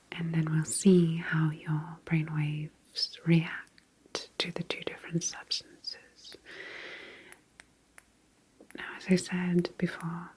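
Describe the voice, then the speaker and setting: A woman in an ASMR roleplay, speaking in a breathy whisper as a serene, faintly sinister sleep clinic doctor, with soothing, deliberate reassurance. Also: fairly low pitch, slight background noise, poor audio.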